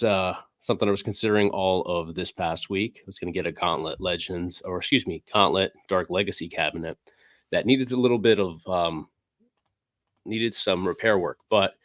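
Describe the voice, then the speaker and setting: Low-voiced man rambling on a livestream. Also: no room sound, clean studio sound. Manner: rambling, filler-heavy, reads chat aloud. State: recovering from a cold, sniffling. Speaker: livestream host. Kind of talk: casual livestream chat. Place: indoor game room. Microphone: desk microphone.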